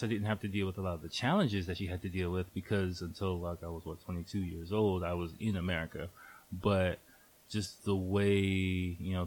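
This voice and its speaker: A man in his thirties hosting a casual solo podcast, talking in a loose, rambling way with lots of fillers and the odd swear word. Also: deep voice. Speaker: podcast host. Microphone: headphones on, home podcast mic.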